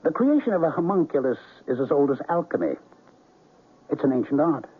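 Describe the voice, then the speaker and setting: Raspy, wildly swooping mad alchemist. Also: male voice, a little high, big pitch swings, a little fast. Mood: lecturing, impatient. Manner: pompous, declaiming. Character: grandiose mad alchemist bent on ruling the world. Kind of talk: theatrical old-time radio drama acting. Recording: narrow-band old radio recording.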